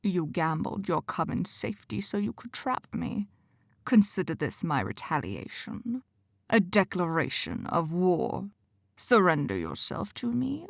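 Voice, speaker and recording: Woman putting on a slow, menacing demon leader. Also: creaky, no room sound, studio quality, full character voice. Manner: slow, low, quiet taunting. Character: menacing male demon leader, smug and cold.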